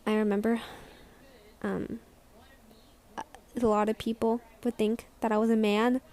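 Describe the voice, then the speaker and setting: A young woman with a squeaky voice rambling in a casual vlog, hesitant and self-conscious, full of restarts and trailing words. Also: little pitch movement, a little slow, a little mellow. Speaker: vlogger.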